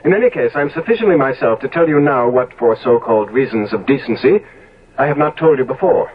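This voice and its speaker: An actor playing a vain, callous young English gentleman in 1970s radio drama, with a disdainful, theatrical manner. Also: mid-range voice, animated, fast talker, slightly rough. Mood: cold, cruel. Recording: muffled, noisy old radio broadcast recording.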